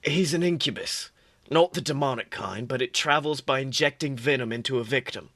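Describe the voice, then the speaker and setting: A man's voice: medium pitch, a little fast, fairly bright tone, dry recording. A man reading aloud the lines of a smug, scheming male demon in a fan fiction, in a dry, matter-of-fact way. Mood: explaining.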